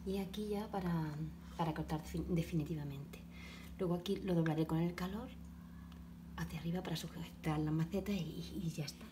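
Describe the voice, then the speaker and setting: A woman narrating her own home craft project step by step, talking haltingly as she works in a kitchen. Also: on the low side. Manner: halting, talks while working, frequent restarts and soft asides. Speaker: DIY video maker. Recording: echoey room with background noise.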